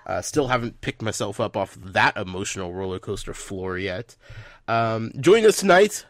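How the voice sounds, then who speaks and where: A man's voice: fairly low pitch, lively intonation, normal speed, fairly bright tone, no room sound, clean studio sound. A man hosting a casual podcast chat, stuffed up from a head cold, rambling with lots of fillers. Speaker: podcast host.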